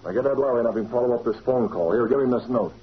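An actor playing a brisk, commanding American newspaper publisher with a clipped, urgent delivery in an old-time radio drama. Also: male voice, mid-range voice, slightly rough. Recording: muffled, noisy old radio broadcast recording.

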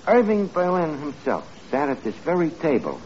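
A man's voice: a little high, huge pitch swings, moderate pace, darkish tone. A man playing a cocky, wisecracking tavern manager with a New York accent and slangy mock-genteel talk, in 1940s radio comedy. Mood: breezy, needling. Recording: muffled noisy 1940s radio, studio audience.

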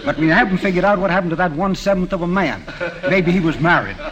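An American man giving formal, measured remarks as a guest on a 1940s radio program. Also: sits high, animated, dull audio, crowd noise. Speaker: guest observer on a quiz program.

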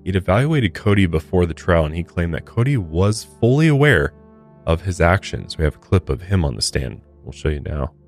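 A man's voice: low voice, sing-song, fairly quick, faint music behind. A man co-hosting a true crime podcast, reading case details and adding short opinions. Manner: reads case notes, adds brief opinions. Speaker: podcast co-host.

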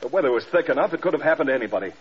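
An actor playing an exhausted, fiercely loyal American bomber group commander in a radio drama, blunt and defensive. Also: male voice, on the high side, expressive, rapid-fire, breathy edge. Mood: protective, insistent. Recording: dull, noisy old radio recording.

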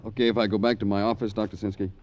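Stoic American cop narrator played by a man, low and brooding, classic radio noir, muffled vintage sound with music. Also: rapid-fire, close to an everyday voice. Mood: wry, weary. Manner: terse, brooding, deadpan. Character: world-weary, stoic American male police detective, narrating. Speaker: detective and narrator. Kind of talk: old-time radio crime drama acting. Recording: dull old radio recording, music behind.

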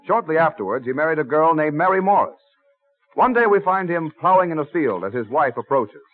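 A man's voice: fairly high pitch, expressive, brisk. A man giving scripted narration as the narrator of an old-time radio drama. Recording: tinny old radio recording. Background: music.

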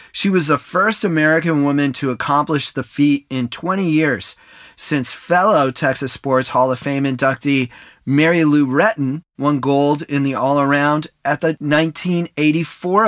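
A man hosting a sports podcast, reading scripted narration with a smooth, even delivery. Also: fairly high pitch, no echo, studio quality. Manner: smooth read-aloud delivery. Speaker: podcast host.

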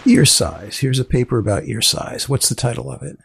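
A man hosting a science humor podcast, interviewing in a deliberate, deadpan way. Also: mid-range voice, creaky, loud music behind the voice. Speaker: podcast host.

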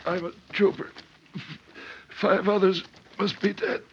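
An actor playing a badly wounded, dying army trooper in a western radio drama, weak, strained and gasping out broken phrases. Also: male voice, high-pitched, flat delivery, measured pace, slight background noise. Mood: gasping, desperate. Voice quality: strained, raspy. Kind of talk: old-time western radio drama acting. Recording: dull vintage radio recording.

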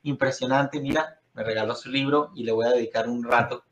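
A man with a Venezuelan accent hosts a friendly podcast conversation. He wanders through his thoughts with false starts and fillers. His voice sits at an ordinary pitch and carries the ring of an echoey room. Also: steady tone, brisk, fairly bright tone. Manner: rambling, many restarts and fillers. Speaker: podcast host. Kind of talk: casual interview chat. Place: Florida.

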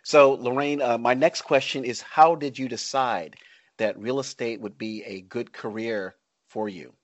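A man's voice: mid-range voice, steady tone, measured pace, dry recording, highs cut off. Podcast host: American male, middle-aged, mid-range voice, dry studio-like sound, presenting and interviewing. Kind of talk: podcast hosting, scripted intro and interview chat.

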